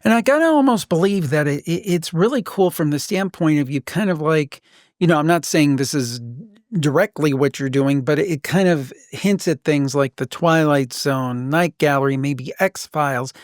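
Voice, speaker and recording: Male podcast interviewer, lighter higher pitch, enthusiastic casual delivery, dry recording. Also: moderate pace, no room sound.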